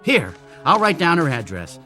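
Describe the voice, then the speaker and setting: A man's voice: fairly high pitch, huge pitch swings, normal speed, bright, forceful tone, faint music behind, heavily acted. A man in a radio drama playing a troubled android who believed he was human, speaking in urgent, challenging, terse phrases. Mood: desperate, insistent.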